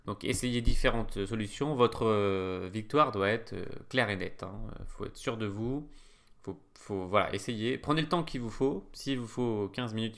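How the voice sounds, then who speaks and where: Low gravelly-voiced man teaching chess. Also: a bit bright. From France. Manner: hesitant, lots of fillers and restarts. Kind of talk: casual screen-share lesson.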